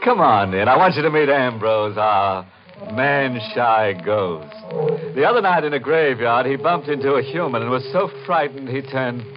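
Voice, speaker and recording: An actor playing a ghoulish, mock-solemn host of a 1950s radio horror show. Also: male voice, medium pitch, lively intonation, normal speed, lo-fi sound, lightly acted. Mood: macabre glee. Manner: macabre, mock-solemn, theatrical. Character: ghoulish, punning male host of a creaking-door horror show. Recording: band-limited old radio broadcast, music behind.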